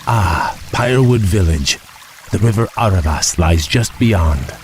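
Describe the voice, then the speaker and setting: A male actor playing a composed high elf prince in a fantasy game, formal, measured and polite in his speech. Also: lively intonation, a little hiss or hum. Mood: calm. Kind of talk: fantasy video game cutscene acting.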